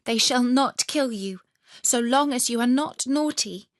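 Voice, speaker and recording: A woman's voice: on the high side, unhurried, dry recording, pitched above the performer's own voice, lightly acted. A woman plays a young robber girl from a storybook, fierce yet fond. The voice is raised a little and imperious, emphatic on every order, in a dry recording. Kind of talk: children's fairy tale audiobook.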